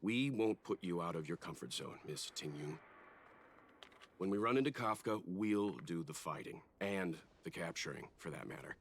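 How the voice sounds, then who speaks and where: A man playing a calm, dependable travelling fighter in a video game, speaking in a measured, courteous, formal way. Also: deep voice, slightly rough, exaggerated voice. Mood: calm, reassuring. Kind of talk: scripted game voice acting.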